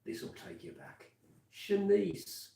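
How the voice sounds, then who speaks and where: A man's voice: high-pitched, sing-song, slow, creaky, warm tone. A man in his fifties with a Birmingham accent, presenting live radio in a casual, rambling way, full of fillers and restarts. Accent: Birmingham (Brummie). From Birmingham, England. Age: 50s. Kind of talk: casual live radio chat with song links. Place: community radio studio. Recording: echoey room, mic at a distance. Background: music playing.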